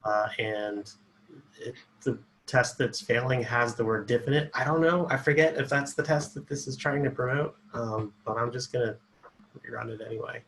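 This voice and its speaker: A man on a video call calmly explaining details in a casual meeting. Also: medium pitch, moderate pace, a little hiss or hum, rough recording. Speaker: meeting participant.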